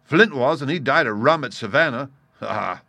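Man acting a forceful, swaggering pirate ringleader. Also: mid-range voice, animated, moderate pace, gravelly, no room sound, pitched above the performer's own voice, heavily acted. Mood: gloating. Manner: forceful, rustic seafaring dialect. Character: swaggering, scheming male pirate ringleader and ship's cook. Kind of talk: dramatised storybook reading.

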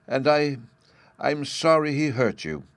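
A man voicing a sweet, gentle young girl who speaks softly and politely. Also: mid-range voice, sing-song, slow, dry recording, pitched above the performer's own voice. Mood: tender. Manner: soft and polite.

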